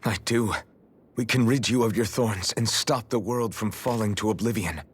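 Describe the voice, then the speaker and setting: Low-voiced, earnest young hero. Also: male voice, normal speed, breathy edge. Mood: resolute, reassuring. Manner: resolute, reassuring. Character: earnest determined young male hero of fantasy game. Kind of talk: dramatic English game dub acting.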